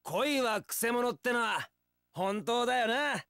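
A man's voice: extremely high pitch, expressive, unhurried, pushed and bright, no room sound, clean studio sound, full character voice. An actor playing a carefree young wandering swordsman in an action game, breezy, theatrical and swaggering, a playful romantic brawler. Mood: breezy defiance. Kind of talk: Japanese action game voice acting.

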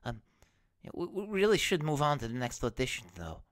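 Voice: mid-range voice, sing-song, brisk, dry recording, studio quality, close to an everyday voice. A performer playing a stammering, nervous assistant. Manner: stammering, hesitant, deferential.

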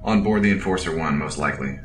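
An actor playing a stoic, deadly veteran military officer in an audio drama, terse, literal and deadpan. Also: low-pitched, quick pace, reverberant, recorded from a distance, faint music behind, lightly acted.

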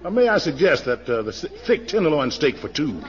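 An actor playing a polite, fawning restaurant head waiter, obliging and deferential, in a 1940s radio comedy. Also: male voice, on the high side, big pitch swings, a little fast. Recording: muffled old radio recording.

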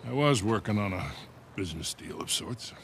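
Gruff old game mentor, dry n teasing, voice rises and dips a lot, bit of background noise. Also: male voice, on the low side, expressive, normal speed. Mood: wry, guarded. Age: older. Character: gruff, wry old fortune-hunting mentor. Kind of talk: video game cutscene acting.

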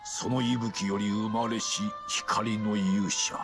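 An actor playing a stately elderly king in a game cutscene, speaking gravely in formal, archaic phrasing. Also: fairly low pitch, steady tone, takes it slowly. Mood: ominous, measured. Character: solemn, stately elderly kings in council. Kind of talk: dramatic Japanese RPG cutscene acting. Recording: game cutscene with faint music.

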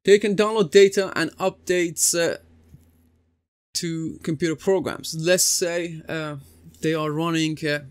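A man in his thirties explains networking over slides in a loose, chatty way, stopping on "uh" and restarting his sentences. His voice is smooth and clear, a little higher than most men's. The room sounds dry and close, recorded near a desk camera. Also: steady tone, unhurried, no echo. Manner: conversational, many uh fillers and restarts. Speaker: computing teacher. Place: small indoor room, seated at desk.

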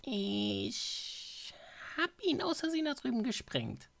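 A woman voicing a childlike, naive monster in an animated fan dub, rambling and pleading. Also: mid-range voice, takes it slowly, vocal fry, very noisy, heavily acted. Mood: sheepish. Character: excitable, childlike green exploding monster, naive and apologetic.

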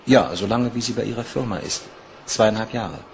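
An actor playing an anxious, defensive young bank clerk with a guilty secret, in a German crime radio play. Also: male voice, lowish voice, moderate pace, gravelly, a little hiss or hum. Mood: nervous, polite.

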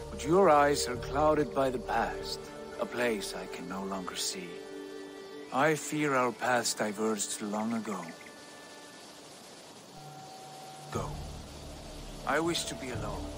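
Guy doing a haughty wounded viking lord who thinks he's a god, slow grandiose game acting, music behind. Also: mid-range voice, close to an everyday voice. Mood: resigned. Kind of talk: dramatic video game acting.

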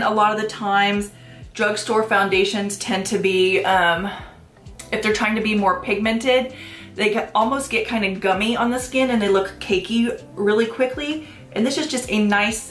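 A woman in her thirties talking casually through a makeup tutorial, a little congested and sniffly, with relaxed ums and likes. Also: medium pitch, reverberant. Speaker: beauty vlogger. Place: small room at home, plain backdrop. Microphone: camera at head-and-shoulders framing.

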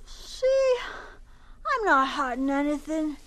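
Slow-talking, high-voiced schoolboy. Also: female voice, very animated, fairly bright tone, full character voice. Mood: weary, wistful. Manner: plaintive, folksy, halting. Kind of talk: radio drama acting.

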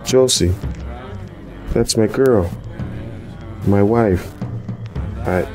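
A weathered revenant, acted by a man, speaks low in folksy, halting dialect. He is humble and muddled, a lovestruck soul in a radio horror play, with music behind him. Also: a little mellow. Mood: wistful.

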